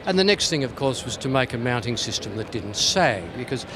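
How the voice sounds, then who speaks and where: A man's voice: mid-range voice, very animated, brisk, background noise. A man giving an informal, playful product demonstration as a company representative at a trade show. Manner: tongue-in-cheek, playful.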